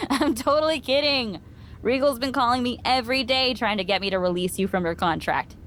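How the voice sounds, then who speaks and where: A woman's voice: on the high side, a little fast, fairly bright tone, slight background noise, lightly acted. An actress playing a teasing but caring female wrestling promoter and loyal friend in a video game cutscene.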